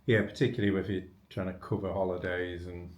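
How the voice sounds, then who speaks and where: Male webinar host, very deep register, conversational business interviewer, informal and probing, recorded in a reverberant room. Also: level tone, fairly quick, darkish tone. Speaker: webinar host asking questions. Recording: echoey room.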